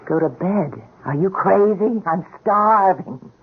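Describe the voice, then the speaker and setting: An actress playing a police sergeant's loyal, outspoken wife in a radio drama, emotional, blunt and tender. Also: female voice, medium pitch, slow pace, breathy edge. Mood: teasing, affectionate. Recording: muffled narrow-band radio drama recording.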